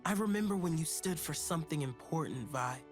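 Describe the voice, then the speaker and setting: An actor playing a cocky, warm, street-smart teenage boy inventor in a video game, with playful, breezy slang. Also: medium pitch, lively intonation, a little fast, loud music behind the voice, close to an everyday voice. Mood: wistful.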